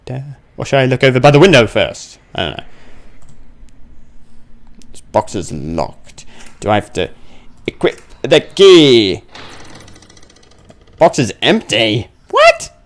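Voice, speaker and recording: A man with a creaky voice comments casually on a game as he plays. His pitch swings around freely while he thinks out loud at an unhurried pace, grumbling and tossing in playful exclamations. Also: wildly swooping pitch. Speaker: gamer playing a horror game on his channel. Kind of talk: casual let's play commentary, thinking aloud.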